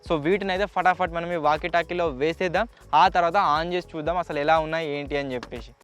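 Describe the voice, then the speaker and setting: A man's voice: on the high side, steady tone, quick pace, over loud music. A man with a Telugu accent hosting a casual unboxing vlog, chatty and explanatory, mixing in English words. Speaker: YouTube host.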